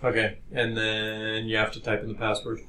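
A man's voice: fairly low pitch, monotone, moderate pace. A man co-hosting a podcast in casual conversation. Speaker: podcast co-host. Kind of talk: casual chat. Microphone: podcast recording with headphones. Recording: roomy echo, noticeable background noise.

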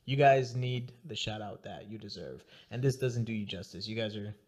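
A man's voice: on the low side, moderate pace, a little mellow. A young adult man hosting a podcast in casual interview chat. He laughs often and talks loosely, with restarts and asides. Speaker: podcast host.

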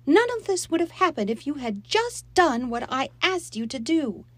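A woman's voice: very animated, unhurried, darkish tone, dry recording. A woman voicing an upset mother whose voice quavers as she scolds and pleads, in a storybook reading. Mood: pleading, tearful. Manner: high, strained, quavering. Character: upset mother, worried, tearful, strained.

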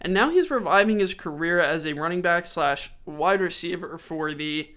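A man's voice: a little high, lively intonation, normal speed, bright, forceful tone. A man with a stuffy, sore-throated voice hosting a casual sports podcast, running through game stats conversationally. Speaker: podcast host.

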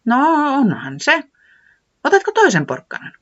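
Nainen esittää iloista, vieraanvaraista säämiestä korkealla äänellä tuhkaisessa äänitteessä. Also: female voice, on the high side, wildly swooping pitch, a bit bright, breathy edge, dull audio, voice pushed up, full character voice. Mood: cheerful. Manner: friendly, beaming, hospitable. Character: cheerful hospitable weather man, eager and chatty.

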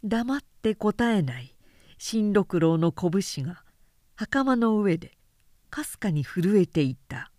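A woman narrates a literary period story at an unhurried pace. Her pitch moves expressively through the sentences, and the sound is dry, with hardly any room. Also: very animated, dark tone, no echo. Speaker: narrator reading a story aloud.

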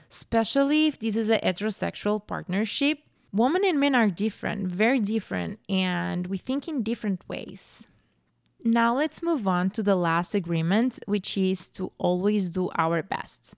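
A young woman talks earnestly and reflectively, as a podcast host sharing her thoughts. Her voice carries a gravelly fry at the ends of phrases. The sound is close and dry, with no room around it. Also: mid-range voice, no echo. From Portugal. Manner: earnest, animated when telling a story. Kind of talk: casual reflective monologue.

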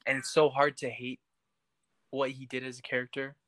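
A man's voice: mid-range voice, little pitch movement, moderate pace, a bit bright, no echo. A man co-hosting a podcast, chatting casually about a TV series. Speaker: podcast co-host.

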